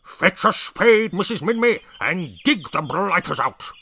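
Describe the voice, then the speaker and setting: A man's voice: high voice, animated, unhurried, gravelly, sharp tone, breathy edge, dry recording, pitched above the performer's own voice, exaggerated voice. A man voicing a blustering, hot-tempered old male dinosaur brigadier who bellows and roars his orders. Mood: bellowing angry. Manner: bellowing, roaring.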